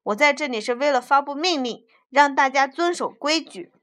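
Stern signboard that scolds and commands, read by a woman; loud and indignant. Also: high-pitched, moderate pace. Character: stern bossy signboard giving orders.

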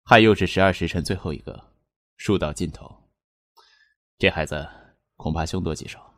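Eerie audio-drama fortune teller: very low, dry voice, solemn and matter-of-fact, never softens a verdict. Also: male voice, dry recording. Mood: grave, foreboding. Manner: measured, solemn, unyielding. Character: eerie, matter-of-fact male fortune teller who divines from written characters. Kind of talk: Chinese audio drama acting.

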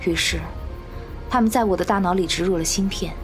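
An actress playing a guarded fugitive woman, an escaped bioweapon, speaking gravely and in a measured way in a radio drama. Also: lowish voice, lightly acted. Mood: somber. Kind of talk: dubbed Chinese radio drama acting. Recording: music bed under the voice.

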